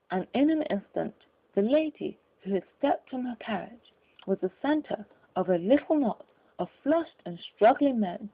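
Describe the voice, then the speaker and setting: A woman reading a literary story as an audiobook narrator, in a measured, formal storytelling manner. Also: a little high, husky. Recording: narrow, phone-like lo-fi audio.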